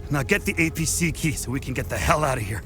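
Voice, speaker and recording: An actor playing a dazed, wounded soldier in a video game, speaking urgently and haltingly through pain. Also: male voice, medium pitch, expressive, music in the background, lightly acted. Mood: strained, urgent. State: hurt, in pain.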